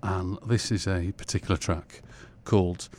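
A man hosting a music radio show, introducing tracks in a halting, rambling way with many pauses. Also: deep voice, fairly even intonation, slight background noise.

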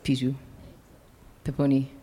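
A woman's voice: rumbling low, completely flat delivery, measured pace, dark tone. A woman from Nairobi, giving short answers as a guest on stage. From Nairobi, Kenya. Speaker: audience guest. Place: live event with an audience.

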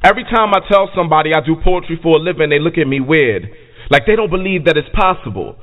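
High-voiced man performing reverberant spoken word. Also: expressive, fast talker. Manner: emphatic, rhythmic delivery. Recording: long reverb, tinny band-limited sound. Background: faint music.

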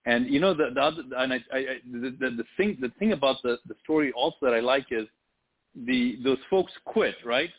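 A man's voice: fairly quick, breathy edge. A man from Zambia co-hosting a live radio talk show, speaking emphatically and repeating words as he builds his points. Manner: emphatic, repeats words, breaks into high mimicry. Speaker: radio co-host. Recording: tinny phone-like line.

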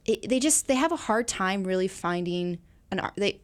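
A woman's voice: medium pitch, lively intonation, normal speed. Woman guest chatting casually about films. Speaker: guest co-host. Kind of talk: casual film discussion.

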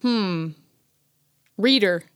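A woman's voice: mid-range voice, expressive, very slow, smooth, dry recording, clean studio sound. An American woman co-hosting a casual chat in an easygoing, agreeable manner. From United States.

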